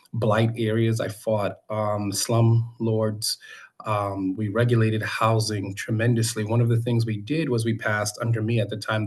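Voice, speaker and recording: A middle-aged man from Boston with an American accent and a fairly low voice. He talks informally, like a local candidate introducing himself to neighbors. His delivery is halting, with pauses and self-corrections as he goes. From Boston, United States. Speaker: city council candidate. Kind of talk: informal campaign introduction speech at a community meeting.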